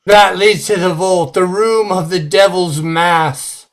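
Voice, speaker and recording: An actor playing an older, grave and fearful devout servant in an old-time radio horror play, solemn and ominous. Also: male voice, high-pitched, fairly even intonation. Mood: uneasy. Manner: solemn, prayerful, ominous, deliberate.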